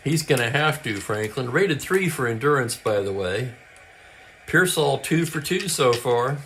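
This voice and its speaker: A man calling a tabletop baseball replay as play-by-play, with brisk calls and stat asides, and a voice that is getting hoarse. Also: medium pitch, animated, fairly bright tone, slight background noise. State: losing his voice, clearing his throat. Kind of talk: play-by-play commentary.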